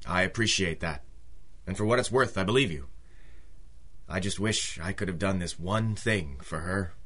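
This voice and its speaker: A man's voice: low voice, normal speed, fairly bright tone. A man playing a mild, polite, disappointed client in an old-school radio detective drama, speaking hesitantly and courteously. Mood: grateful, subdued.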